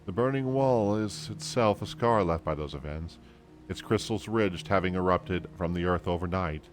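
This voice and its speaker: A man voicing a learned fantasy game scholar who guides a traveler in a formal, measured way. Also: low-pitched, faint music behind, lightly acted. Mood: explaining, reverent.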